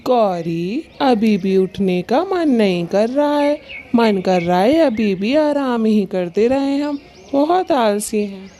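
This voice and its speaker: Woman doing a slow, mellow male cartoon thief bird. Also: on the high side, animated, a little hiss or hum. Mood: lazy, scheming. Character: doting, lazy, cowardly male thief bird, a husband. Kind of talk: children's cartoon story acting.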